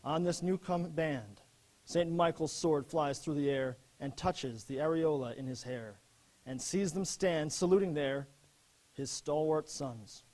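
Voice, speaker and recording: A man playing an earnest First World War army officer, giving a solemn, measured dramatic reading of letters and verse. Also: fairly high pitch, darkish tone, natural voice. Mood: solemn, elegiac.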